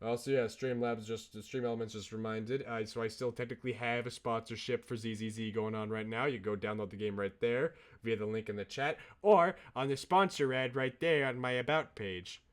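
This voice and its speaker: A man's voice: medium pitch, steady tone, fairly quick, hardly any pauses. A man chatting casually on a livestream as a game streamer, enthusiastic and informal in how he talks.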